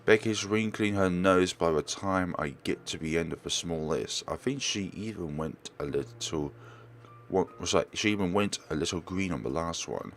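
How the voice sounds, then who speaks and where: Low-voiced man reading a game aloud. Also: moderate pace, soft background music. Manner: stumbles over words and corrects himself. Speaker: let's player. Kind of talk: reading a visual novel aloud with asides.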